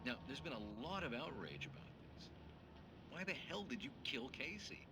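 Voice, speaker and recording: A man voicing a brash, smooth-talking talk show host in a video game, showy and teasing with mock candour. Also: mid-range voice, big pitch swings, normal speed, background noise.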